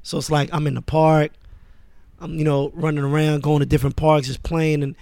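A man born in France and raised in Queens, speaking as a guest in a relaxed, casual interview. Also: fairly high pitch, fairly quick, vocal fry, dry recording. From born in France, raised in Queens, New York. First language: Arabic. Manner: relaxed, laid-back, with many fillers. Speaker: guest, music manager.

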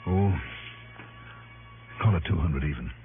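An American actor playing a tough insurance investigator in a 1960s radio detective drama, clipped and hard-boiled. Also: male voice, sits low, a little slow. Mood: wry, confident. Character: tough male insurance investigator narrating his case. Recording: old narrow-band radio recording, music behind.

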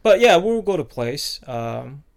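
A man's voice: on the high side, sing-song, unhurried, vocal fry. A man from South Korea, co-hosting a podcast in loose, rambling casual chat full of fillers. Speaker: podcast co-host.

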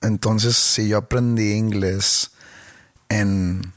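A man with a Monterrey accent hosting a podcast, teasing his co-host in a dry, deadpan way. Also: lowish voice, very slow, no echo. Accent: northern Mexican, Monterrey. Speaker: podcast host and touring comedian. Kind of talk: casual podcast banter.

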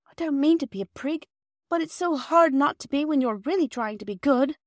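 A woman's voice: high voice, animated, fairly quick, no echo, studio quality, pitched above the performer's own voice. A woman voicing an anxious, earnest young girl who frets and blames herself. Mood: fretful. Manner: earnest and fretful.

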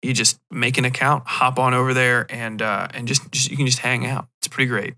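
A man with a bright, forward voice hosts a podcast alone. He talks casually and rambles, drifting into digressions with a self-deprecating touch. His pitch sits in the middle for a man. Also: flat delivery, brisk, vocal fry. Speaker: podcast host.

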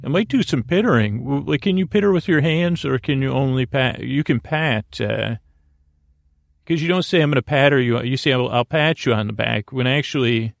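A man hosting a bedtime podcast in a gravelly voice, rambling through an improvised monologue that halts and circles back on itself. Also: mid-range voice, dry recording. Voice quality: gravelly, creaky. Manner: meandering, halting, repeats and circles back on words. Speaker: podcast host.

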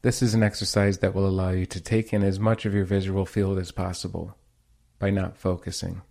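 A man leading a guided meditation as teacher, speaking in a calm, soothing, unhurried manner. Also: low-pitched, vocal fry.

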